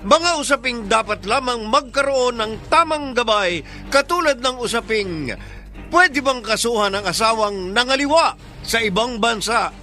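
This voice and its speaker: A man's voice: extremely high pitch, animated, normal speed, bright, forceful tone. A man announcing a radio drama in a grand, solemn, declamatory scripted style. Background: music.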